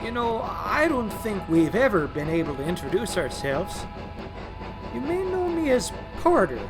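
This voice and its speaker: A boastful, charming steam engine played by a man with a high, very animated voice. He talks in a jaunty, folksy way, as in an audio drama, over faint music. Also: a little slow, full character voice. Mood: friendly, charmed.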